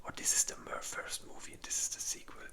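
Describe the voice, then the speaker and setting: A host speaking in a breathy, unvoiced whisper, rambling informally in a casual ASMR ranking video. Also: fast talker, pushed and bright.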